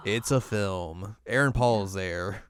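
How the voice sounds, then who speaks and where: A man's voice: lowish voice, slow, clean tone. A man co-hosting a podcast in casual conversation, informal and wry, repeating words for emphasis. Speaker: podcast co-host. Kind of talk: casual chat, film ranking discussion.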